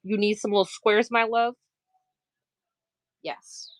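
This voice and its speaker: A woman's voice: mid-range voice, fairly even intonation, slow. A woman with a light Maine accent chats to viewers while she sews, laughing often at her own plans. Her voice sits in a middle range. The sound is dry and close, with little room echo. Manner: chatty, laughs often, self-mocking asides; talks while working, moving around the table. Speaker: quilter streaming live sales. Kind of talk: casual live-stream sewing chat.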